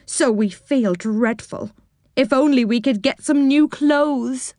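A tearful little toy sailor doll from a storybook, as a woman reader puts it on, plaintive and woeful. The voice rides noticeably higher than the narration, and the sound is dry and close. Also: slow, mellow, no echo.

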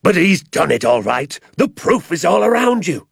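A man voicing a blustering, pompous rich landowner who shouts and bullies, in a storybook reading. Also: deep voice, unhurried, husky, no room sound, clean studio sound, heavily acted. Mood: indignant. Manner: shouting, indignant.

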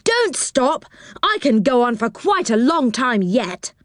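A woman's voice: a little high, sing-song, slow pace, fairly bright tone, no echo, studio quality, voice pushed up, heavily acted. A woman voicing a greedy, stubborn young boy in a children's story, with a sulky manner. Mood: eager. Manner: sulky, defiant, wheedling.

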